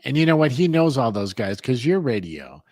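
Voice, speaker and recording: A man's voice: medium pitch, big pitch swings, moderate pace, darkish tone, no room sound. Rambling middle-aged man, dry close sound. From Hollywood, California. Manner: rambling, lots of fillers. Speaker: co-host. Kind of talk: casual chat.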